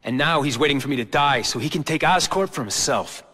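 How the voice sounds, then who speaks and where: A man's voice: fairly high pitch, animated, brisk, sharp tone, husky, heavily acted. A man with an American accent voicing an embittered, brooding young corporate heir in a video game cutscene. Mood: bitter. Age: young adult. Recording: long hall-like reverb. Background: background music.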